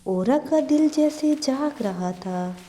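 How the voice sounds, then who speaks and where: A woman's voice: high voice, animated, slow, mellow. A woman narrating a scripted fairy tale as a storyteller.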